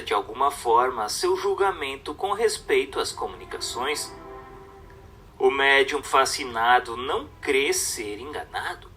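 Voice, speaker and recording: A man reads a book as a scripted narration, in a measured, didactic way. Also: on the high side, bright, forceful tone. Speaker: audiobook narrator. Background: music.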